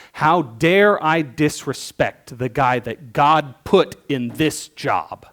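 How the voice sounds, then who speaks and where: A man giving a sermon as worship leader, teaching in a conversational way, asking questions and swinging between emphatic bursts and quiet asides. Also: mid-range voice.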